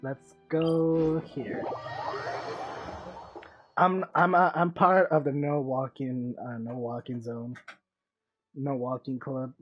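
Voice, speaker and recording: A woman game streamer talks slowly and casually, musing aloud as she plays. Her microphone sounds dull, and faint music runs behind her. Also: rumbling low, steady tone. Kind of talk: casual gameplay chat.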